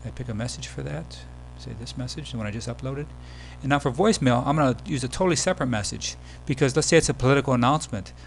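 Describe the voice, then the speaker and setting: Low-key man narrating, faint hum behind. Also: quick pace, vocal fry, few pauses, rough recording. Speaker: software demo presenter. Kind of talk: casual screencast tutorial narration. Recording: background hum and noise.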